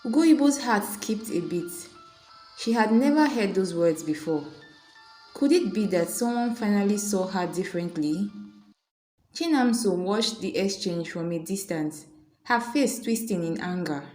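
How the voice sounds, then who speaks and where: A woman storyteller narrating a scripted folktale in a dramatic, expressive way. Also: medium pitch, measured pace, soft background music, low-quality recording.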